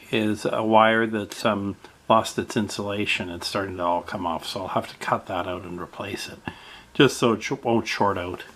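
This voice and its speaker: A man narrating a step-by-step radio repair in a halting way, with many fillers. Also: lowish voice, fairly bright tone.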